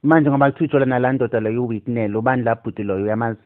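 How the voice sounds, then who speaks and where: A man's voice: medium pitch, rapid-fire. A South African man in a casual phone chat, mixing English and Zulu. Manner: code-switches between English and Zulu. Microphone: telephone line. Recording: tinny, narrow phone-call recording.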